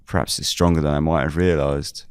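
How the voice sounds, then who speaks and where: A man playing a weary investigator who narrates a scripted audio drama in the first person, measured and brooding. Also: very deep voice, level tone, clean tone, dry recording, studio quality. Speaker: narrator and lead character.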